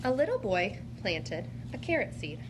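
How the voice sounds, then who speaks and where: A woman's voice: mid-range voice, big pitch swings, normal speed. A woman teacher demonstrating an interactive read-aloud, speaking to a child in an encouraging, questioning way. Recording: clear background noise.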